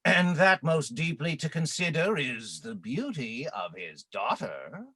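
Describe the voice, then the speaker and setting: An actor playing a fawning, vengeful half-fish monster servant with a raspy, gravelly voice in a Shakespearean radio play. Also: male voice, a little high, very animated, a little slow, pushed and bright, dry recording, exaggerated voice. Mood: fawning, scheming. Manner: grovelling and bitterly indignant, archaic verse speech.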